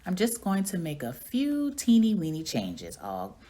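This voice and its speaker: A woman playing a small, curious classroom hamster from a children's storybook, earnest, chatty and expressive. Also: on the low side, measured pace, voice pushed up, heavily acted. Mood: eager, helpful.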